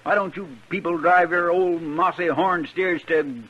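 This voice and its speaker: A man playing an excitable, grumbling but loyal cowboy sidekick with a folksy, fussy Southern drawl in a 1950s radio western. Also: high-pitched, measured pace. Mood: riled up. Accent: American Southern drawl. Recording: dull, noisy old radio recording.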